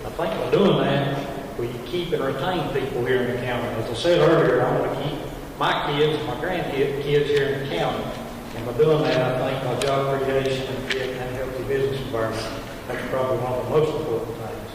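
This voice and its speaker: A man's voice: medium pitch, steady tone, brisk, noisy background. A man answering a forum question as a candidate, hesitant and full of fillers. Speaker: county commissioner candidate. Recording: echoey hall from far off.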